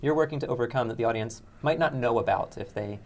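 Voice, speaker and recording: A young man co-hosting a casual interview, speaking in a halting, encouraging way. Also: medium pitch. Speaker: co-host, interviewer. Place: small indoor room, seated.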